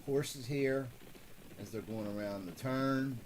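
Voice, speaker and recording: A man hosting a casual live stream and calling horse races, rambling and correcting himself as he goes, then getting excited at the finish. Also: mid-range voice, slow pace, a little hiss or hum. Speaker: host calling horse races for a fantasy contest.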